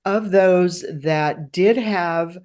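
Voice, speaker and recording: A middle-aged woman from the United States, a researcher and guest, answering in an earnest, measured, academic way. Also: lowish voice, darkish tone. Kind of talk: conversational interview answer.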